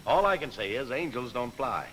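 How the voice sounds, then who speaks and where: Sceptical, wisecracking keeper of dead pilots, old training film, punchy and animated, full of slang. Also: male voice, mid-range voice, normal speed, low-quality recording. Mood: wry, grumbling. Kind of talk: 1940s training film acting. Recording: old film soundtrack, background noise.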